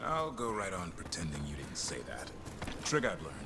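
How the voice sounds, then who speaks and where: An actor playing an armored elf monster hunter in a video game, gruff but soft-hearted, with a dry manner. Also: male voice, sits low, huge pitch swings, moderate pace, noisy background, heavily acted. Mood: dry, practical. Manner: dry, matter-of-fact, good-natured. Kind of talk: video game dialogue acting.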